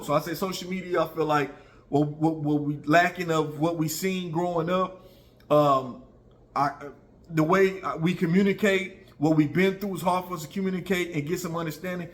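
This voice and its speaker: A man's voice: a little high, steady tone, moderate pace. An American man in his early forties giving casual opinions to viewers, conversational, repeating himself for emphasis and often checking in with listeners. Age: early 40s. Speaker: online video commentator.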